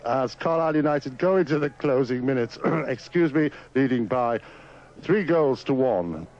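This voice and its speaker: A man's voice: mid-range voice, lively intonation, moderate pace, a little mellow. A man giving live football match commentary over the radio. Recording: slightly dull radio line.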